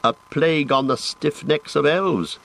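A ranger leader gives orders in a raised, firm, commanding voice, as a male narrator acts him out. His tone is steady and decisive, with lifted pitch over the plain narration. A storybook hero's voice. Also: a little slow, vocal fry, full character voice.

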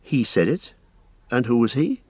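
A man voicing an earnest, impatient young hobbit who talks quietly and directly. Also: medium pitch, very animated, measured pace, breathy edge, dry recording, pitched above the performer's own voice.

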